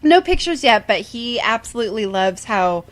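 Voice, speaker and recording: A woman with a fairly high voice co-hosts a podcast. Her talk is casual banter, full of wry little jokes and asides. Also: big pitch swings, normal speed. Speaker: podcast co-host.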